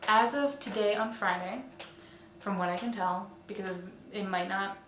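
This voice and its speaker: A woman's voice: medium pitch, moderate pace, a bit bright. Chatty woman in a roomy, echoey recording. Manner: chatty, informal, self-deprecating asides. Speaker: host of a weekly online roundup show. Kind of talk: casual presenting to viewers. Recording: roomy echo, distant mic, faint noise.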